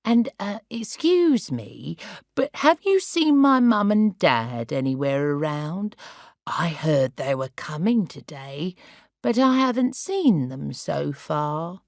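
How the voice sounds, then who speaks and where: A fussy, forgetful grandmother of eighty, voiced by a woman in an audiobook story. She talks in a slow, demanding, suspicious way. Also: deep voice, sing-song, no room sound, clean studio sound, exaggerated voice. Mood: cheered. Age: 80s.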